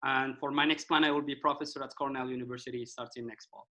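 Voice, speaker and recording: A man's voice: medium pitch, steady tone, fast talker, sharp tone, slight background noise. A young man from Morocco speaking as a guest on a radio talk show, precise and full of hesitations. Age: young adult. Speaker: guest, PhD graduate. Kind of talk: casual chat.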